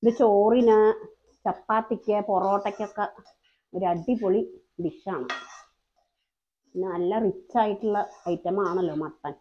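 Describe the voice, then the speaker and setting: A woman's voice: mid-range voice, unhurried, pauses often, a little hiss or hum. Woman, cooking voice-over, friendly explainer, slightly dull lo-fi sound. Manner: chatty, explains each step, frequent tag questions. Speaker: cooking channel host.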